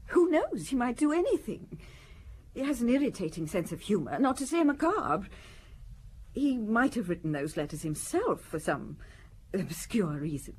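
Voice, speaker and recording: An actress in an audio drama playing a cold, aggrieved upper-class wife with a formal, pointed way of speaking. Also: female voice, on the high side, sing-song, normal speed, slight background noise. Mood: anxious, insinuating. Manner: formal, haughty, pointed delivery.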